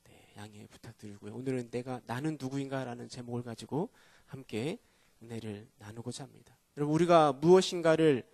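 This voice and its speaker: A man preaching with a hoarse, cold-roughened voice that sits fairly high. He speaks earnestly and keeps asking the congregation rhetorical questions. The sound is dry and close, with no room echo. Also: a little mellow. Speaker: pastor. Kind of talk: sermon at a Friday prayer meeting. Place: church.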